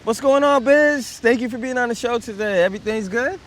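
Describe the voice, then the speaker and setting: A man hosting an interview show, energetic and upbeat, swinging between loud hype and low, relaxed asides. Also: fairly quick, a little hiss or hum. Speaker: show host.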